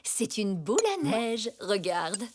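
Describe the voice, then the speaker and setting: A woman's voice: medium pitch, animated, slow pace. A woman voicing a tender zebra mother in a French children's cartoon, with faint noise behind.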